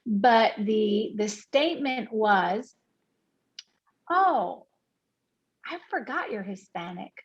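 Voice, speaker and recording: A woman's voice: mid-range voice, lively intonation, takes it slowly, pauses often, highs cut off. A woman from Puerto Rico, a guest in an interview, talking earnestly with many restarts and fillers. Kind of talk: candid interview conversation.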